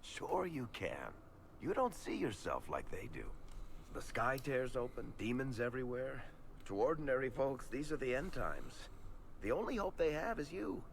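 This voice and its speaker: An actor voicing a wry, sincere roguish storyteller companion in a video game, talking casually. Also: male voice, mid-range voice, big pitch swings, normal speed, vocal fry, slight background noise. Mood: earnest. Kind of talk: video game dialogue acting.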